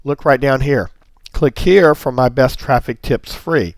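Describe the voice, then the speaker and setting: A man's voice: medium pitch, unhurried, no echo. A man with a touch of laryngitis, walking viewers through his website in a friendly, step-by-step screen-recorded tutorial. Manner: friendly, explanatory, stepwise. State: slight laryngitis. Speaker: online marketing teacher.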